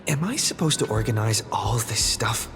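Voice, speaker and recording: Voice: medium pitch, expressive, normal speed, a bit bright. An actor voicing a hesitant, overwhelmed young newcomer in a video game, muttering to themself. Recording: studio voice over game music.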